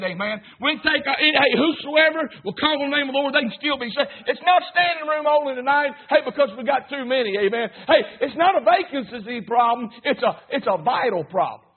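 A man preaching an emphatic revival sermon, punctuating lines with "hey" and "amen". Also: very high voice. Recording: tinny phone-like sound.

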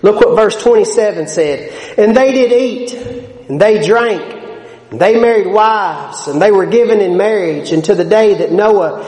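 A man's voice: high voice, measured pace, darkish tone. A man preaching a sermon, emphatic and folksy, his volume swelling and dropping between points. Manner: folksy, plain-spoken asides. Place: church sanctuary. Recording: echoey hall with long reverb.

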